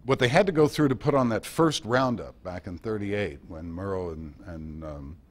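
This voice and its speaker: A man with a fairly low voice speaks informally to a club meeting. He tells history in loose, conversational anecdotes and drops in wry asides as he goes. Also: expressive, moderate pace. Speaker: guest speaker giving a history talk.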